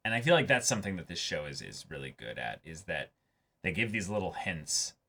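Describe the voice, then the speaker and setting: A young man co-hosting a casual anime discussion, talking in a halting way with repeated words. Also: low-pitched, sing-song, pushed and bright. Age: young adult. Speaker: co-host. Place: small indoor room set up for filming.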